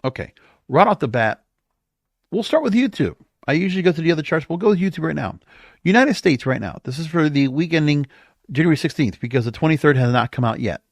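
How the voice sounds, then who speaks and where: A man's voice: on the high side, very animated, fast talker, no echo, studio quality. A man hosting a podcast, giving casual music chart commentary and thinking aloud as he reads out figures. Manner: off-the-cuff, thinking aloud while reading figures. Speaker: podcast host.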